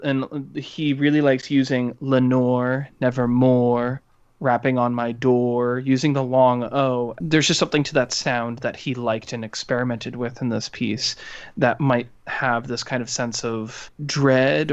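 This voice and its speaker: Male podcast co-host, mid-pitched, informal and halting, discussing books casually on dull-sounding audio. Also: fairly even intonation, smooth. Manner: informal, halting delivery with many "like"s. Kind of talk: casual discussion of art and literature.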